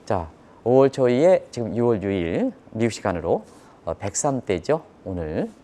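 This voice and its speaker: Male economic commentator, fairly low register, explains markets to viewers with repetition and rhetorical questions. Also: sing-song, normal speed, warm tone. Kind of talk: live financial news commentary.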